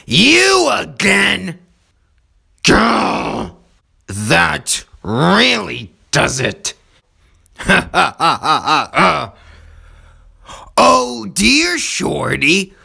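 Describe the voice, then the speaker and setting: A man voicing a gruff, bullying cartoon villain with a raspy, taunting, drawn-out delivery. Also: sits high, huge pitch swings, pushed and bright, exaggerated voice. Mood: taunting, gloating. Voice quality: raspy, gravelly.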